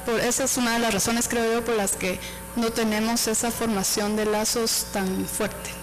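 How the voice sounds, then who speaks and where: A woman's voice: a little high, level tone, moderate pace, over music, low-quality recording. A woman professor speaking thoughtfully on a university panel. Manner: reflective, thoughtful. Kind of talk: panel talk.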